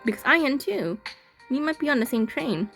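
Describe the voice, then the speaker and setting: A woman's voice: high voice, sing-song, moderate pace, gravelly, a bit bright, soft background music, pitched above the performer's own voice, heavily acted. A woman playing an eerie, over-friendly and insistent mysterious stalker, reading coaxingly from a visual novel script. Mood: eager.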